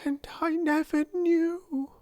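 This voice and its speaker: A woman voicing a kind, timid, slow-witted young schoolgirl in a storybook, earnest and halting, often repeating words. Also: mid-range voice, darkish tone, dry recording, studio quality, pitched above the performer's own voice, natural voice. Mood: woeful.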